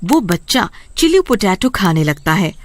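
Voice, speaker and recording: A woman's voice: mid-range voice, sing-song, a little slow, no room sound. A woman storyteller reading scripted narration of a tale.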